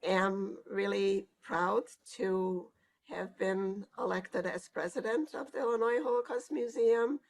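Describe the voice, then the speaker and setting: Old lady, super slow, kinda gravelly, lots of ums and pauses, oral history interview. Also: mid-range voice, fairly even intonation, poor audio. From Hungary. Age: older. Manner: slow, reflective, hesitant with pauses and fillers. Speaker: Holocaust survivor giving testimony.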